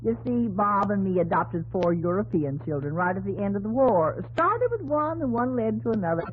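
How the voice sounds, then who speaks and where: Female actor as homespun housewife, folksy, brisk, animated pitch, old radio drama, faint noise. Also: lightly acted. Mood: proud. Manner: plain-spoken, folksy. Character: brisk, homespun small-town Iowa housewife, matter-of-fact and hospitable. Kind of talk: 1950s radio drama acting. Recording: vintage radio broadcast, narrow band, faint noise.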